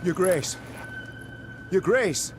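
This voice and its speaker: An actor playing an earnest, loyal knightly officer in a video game, speaking formally and with deference. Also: male voice, sits high, lively intonation, extremely slow, background noise, exaggerated voice. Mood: alarmed. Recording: music behind the voice.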